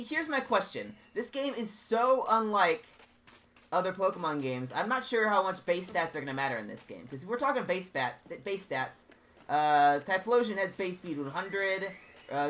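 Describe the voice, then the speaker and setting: A man's voice: fairly high pitch, sharp tone. A man commenting on a game as he plays, chatty and rambling, often stumbling over and repeating his words. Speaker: gaming channel host. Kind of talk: let's play commentary.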